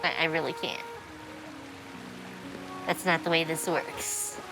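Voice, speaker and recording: A woman's voice: low voice, steady tone, slow, poor audio. A woman giving casual, wry and teasing commentary as she streams a video game. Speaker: gaming streamer. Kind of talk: casual let's play commentary. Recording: game music behind voice. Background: video game music.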